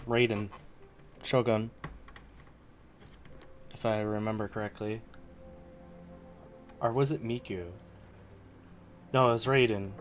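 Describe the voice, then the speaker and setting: A man's voice: lowish voice, slight background noise. A man chatting casually as he streams a game, rambling and hesitant in his delivery. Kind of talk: casual chat while playing. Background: faint game music.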